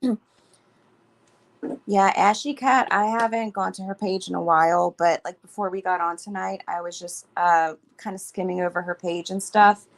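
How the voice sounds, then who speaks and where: A woman co-hosting a casual livestream chat, her voice a little raspy, talking easily with fillers. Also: lowish voice, fairly even intonation, normal speed. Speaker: co-host.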